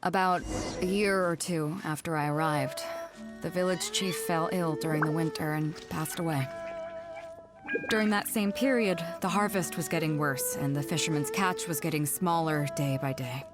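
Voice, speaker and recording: A woman's voice: deep voice, natural voice. An actress voicing a proud, tough adult pirate ship captain in a game cutscene, telling her past in a wistful, wry, confident way. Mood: somber. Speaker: game character. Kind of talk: dubbed video game cutscene dialogue.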